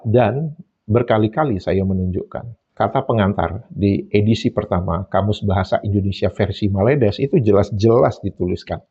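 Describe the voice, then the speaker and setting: A man delivers solo commentary in a low, husky voice, mocking and emphatic as he repeats points for effect. The recording sounds a little dull and lo-fi. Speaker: YouTube commentator.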